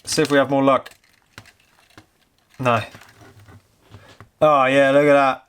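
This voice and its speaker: A British man giving casual, excitable hands-on commentary as he tinkers with gadgets, thinking aloud informally. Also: mid-range voice, slow pace, clear, resonant tone. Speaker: host of a vintage technology museum channel.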